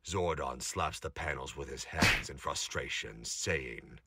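A man's voice: extremely low pitch, a little slow. A man narrating a scripted audio drama in a dramatic storytelling style. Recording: noisy home recording with clicks.

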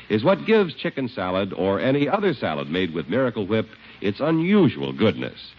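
An American man working as a radio announcer, reading a script in 1950s broadcast style. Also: mid-range voice. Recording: muffled 1950s radio with music. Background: music.

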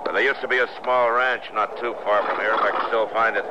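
Man acting a deep-voiced Old West marshal, American, laconic, commanding, radio drama, muffled noisy vintage recording. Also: steady tone, fast talker, close to an everyday voice. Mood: calm, firm. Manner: terse, plainspoken.

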